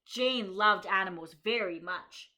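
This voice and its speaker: A woman's voice: medium pitch, pushed and bright. A kindergarten teacher, a woman, reading a picture book aloud to young children and stopping to think aloud and explain what the author and illustrator show. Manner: slows down and stresses key story lines.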